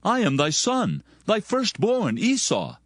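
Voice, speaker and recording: A man's voice: high voice, sing-song, slow, dry recording, studio quality, heavily acted. A man playing a hunter, the elder son, in a dramatized Bible drama, speaking emphatically in archaic scripture language. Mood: earnest. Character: robust hunter elder son, wronged, pleading and bitter.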